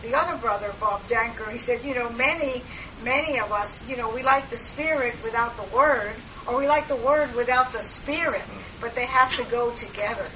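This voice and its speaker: A woman's voice: on the high side, moderate pace, crowd noise. An older woman sharing at a church meeting, rambling with many fillers and restarts. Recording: distant mic, background noise.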